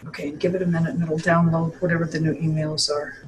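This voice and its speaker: A woman's voice: low-pitched, flat delivery, quick pace, low-quality recording. Off-mic woman giving short casual directions. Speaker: helper giving computer help. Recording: off-mic with faint room noise.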